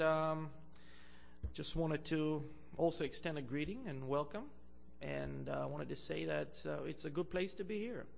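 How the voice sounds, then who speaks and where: Young male guest preacher from Bulgaria, slightly high and gravelly, halting delivery with fillers. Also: a little hiss or hum. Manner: hesitant, many fillers, louder when preaching and reading scripture. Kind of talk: sermon. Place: church.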